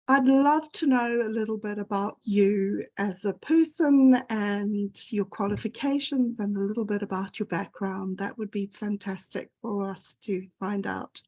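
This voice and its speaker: A woman's voice: mid-range voice, darkish tone, highs cut off. A woman hosting an informal interview over a video call, friendly with light laughs. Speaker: interview host.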